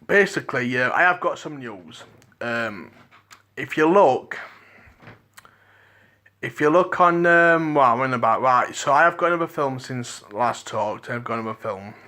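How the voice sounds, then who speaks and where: Liverpool man, podcast host, dry croaky voice, rambles and restarts sentences. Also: mid-range voice, lively intonation, measured pace, sharp tone. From England.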